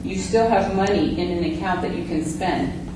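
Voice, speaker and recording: A woman's voice: on the low side, normal speed. A woman accountant patiently explaining finances at a public meeting, picked up by a distant microphone. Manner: patient, explanatory, repeats "correct". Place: meeting hall. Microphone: distant table mic. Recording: echoey hall with far mic and noise.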